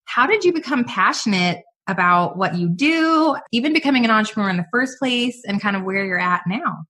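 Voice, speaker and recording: A woman hosting a podcast interview in an upbeat, casual, gushing and excitable way. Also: on the high side, a little fast. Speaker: podcast host.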